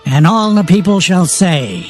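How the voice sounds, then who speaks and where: A man's voice: high-pitched, expressive, measured pace. A man narrating dramatized scripture in a solemn, declamatory way, with music behind.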